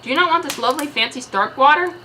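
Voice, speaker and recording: A woman voicing a boastful, gung-ho grown man superhero who cheers and shouts. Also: high voice, quick pace, far from the mic, slight background noise, voice pushed up. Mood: peppy. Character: gung-ho adult male superhero, boastful and peppy.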